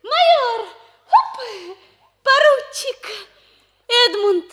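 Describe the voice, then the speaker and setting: An actress playing an excitable lovestruck young noblewoman in a 1960s radio comedy, breathless and gasping. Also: very high-pitched, drawn-out words, heavy background noise, heavily acted. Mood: breathless romantic. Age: young adult. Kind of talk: 1960s radio theatre acting.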